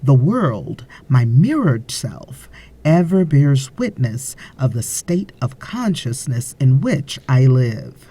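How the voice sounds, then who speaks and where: A woman reading a spiritual audiobook as scripted narration, in a measured, deliberate way with frequent pauses. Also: extremely low pitch, sing-song, warm tone, slightly rough.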